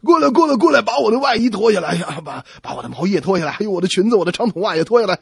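Comedy radio role: male performer as irate, domineering wife, husky voice swinging up high. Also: big pitch swings, racing, no echo, clean studio sound, heavily acted. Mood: angry, bossy. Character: angry shouting wife ordering her husband around.

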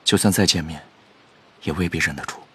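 An actor playing a quiet, restrained and wistful young man from a rich family in an audio drama. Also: low voice, fairly quick, slightly rough, full character voice. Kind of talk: Chinese audio drama acting.

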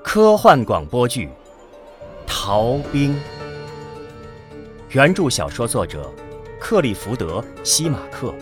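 Male science fiction narrator, lively intonation over music. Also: mid-range voice, slow pace, pauses often. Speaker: narrator of an audio drama. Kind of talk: dramatic scripted narration of a science fiction radio play. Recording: music under the voice.

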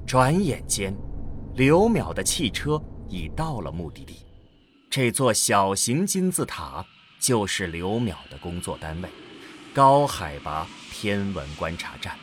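A man's voice: on the low side, big pitch swings, unhurried, slight background noise. A man narrating a scripted science-fiction audio drama in a dramatic storytelling style. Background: faint background music.

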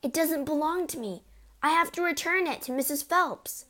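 A woman's voice: sits high, moderate pace, bright, forceful tone, voice pushed up. A woman voicing a polite, bookish little girl who speaks earnestly and pleads. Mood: upset.

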